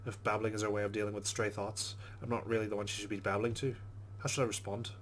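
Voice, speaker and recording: A man's voice: fairly low pitch, little pitch movement, fast talker, slight background noise. A man hosting a let's play, giving casual game commentary and reading the game's text aloud.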